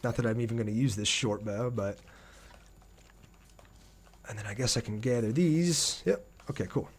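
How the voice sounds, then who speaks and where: A man's voice: mid-range voice, normal speed, slightly rough. A man giving casual gameplay commentary, thinking aloud in an informal way as he plays. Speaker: gaming video host. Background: game music and sounds.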